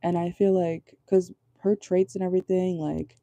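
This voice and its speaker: A woman with a low voice giving a casual tarot reading from home, chatty and rambling, often checking in with her viewers. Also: level tone, normal speed, mellow, no room sound, lo-fi sound. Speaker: tarot reader. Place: at home indoors at night.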